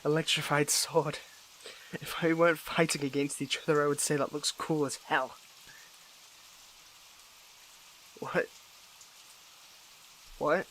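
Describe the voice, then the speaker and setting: A young man playing a wounded, bitter superhero in a dramatic audio roleplay, speaking in a halting, emotional way with stammered restarts. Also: a little high, flat delivery, a bit bright, a little hiss or hum, rough recording. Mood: resigned, wry. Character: wounded young male superhero, conflicted, bitter, defiant toward villain.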